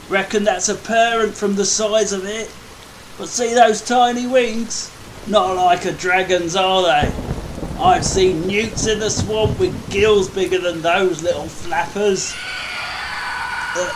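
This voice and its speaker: A man's voice: high voice, noisy background. A man voicing a chatty, folksy goblin tinkerer who guides the listener through a playful fantasy bedtime story. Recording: music behind the voice. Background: music.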